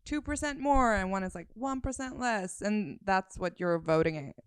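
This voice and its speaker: A woman from Sweden co-hosts a relaxed couch podcast. Her voice is smooth and clear, recorded close and dry, and she talks in a playful, laugh-prone way. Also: medium pitch, normal speed, dry recording. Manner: playful, laughs while talking. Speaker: podcast co-host. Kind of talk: casual chat.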